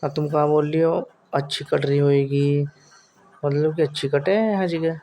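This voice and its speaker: A man's voice: a little high, fairly even intonation, moderate pace, mellow. A young man chatting casually and teasingly on a recorded phone call. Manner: teasing, goading. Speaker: caller chatting with partner.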